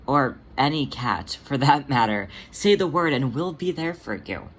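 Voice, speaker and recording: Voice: medium pitch, animated, measured pace, poor audio. A narrator voicing a motherly female cat who is a healer, speaking in a patient, soothing and reassuring way.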